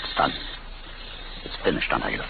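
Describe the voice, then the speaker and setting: An actor playing a weary, intense older inventor in a 1950s radio drama, terse and grave. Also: male voice, normal speed, natural voice. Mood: intense, determined. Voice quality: deep, gravelly. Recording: very noisy, muffled old radio recording.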